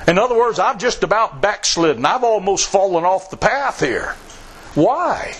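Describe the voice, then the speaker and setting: A man's voice: sits high, sing-song, moderate pace, fairly bright tone. A man preaching a sermon, repeating phrases for emphasis and reading scripture aloud in old-fashioned wording. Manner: reads scripture aloud in King James wording.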